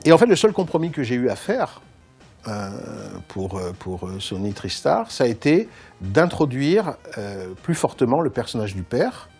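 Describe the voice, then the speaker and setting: Man, animated pitch, dark tone, halting interview speech, faint music behind. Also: slightly rough. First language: French. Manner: halting, searching for words. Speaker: film director being interviewed. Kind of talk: spontaneous interview answer.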